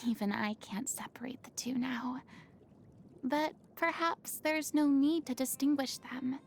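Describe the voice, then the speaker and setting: A woman's voice: high voice, unhurried, creaky, slight background noise. A woman voicing a proud, dramatic young stage idol with a secretly wistful side in a video game, speaking in a refined, theatrical way. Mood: wistful, confiding. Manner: theatrical, refined, haughty diction with dramatic swings. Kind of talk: video game character voice lines.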